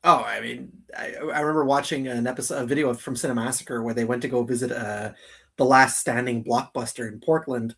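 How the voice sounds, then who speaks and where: A man's voice: medium pitch, fairly even intonation, fairly quick, gravelly, a bit bright, breathy edge. A man co-hosting a casual geek talk show, circling back and repeating himself as he talks. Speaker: co-host of a live geek countdown show. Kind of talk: casual chat.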